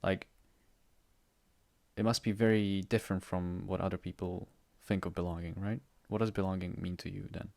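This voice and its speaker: A young man hosting a casual podcast interview, halting and restarting his words with many fillers. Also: sits low, flat delivery, gravelly, darkish tone, dry recording. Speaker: podcast host, university student. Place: plain indoor room.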